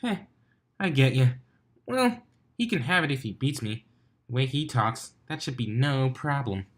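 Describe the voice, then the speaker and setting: A man's voice: mid-range voice, lively intonation, unhurried. A man voicing a brash, competitive young female pegasus from a cartoon fan story, casual and cheeky. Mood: casual, dismissive.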